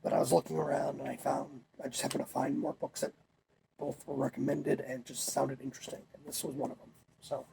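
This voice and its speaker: A man's voice: medium pitch, level tone, a little fast, creaky, dark tone, a little hiss or hum, poor audio. A young man talking casually, a little congested while he gets over a cold, rambling with fillers and restarts. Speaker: vlogger. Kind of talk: casual chat.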